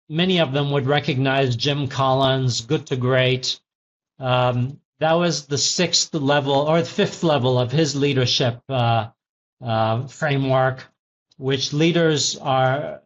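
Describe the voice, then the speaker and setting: A man in his fifties, a former tech CEO now an executive coach, answering interview questions in a thoughtful, teacherly way with frequent restarts. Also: a little high. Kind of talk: interview answers, reflective storytelling. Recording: slightly dull lo-fi audio.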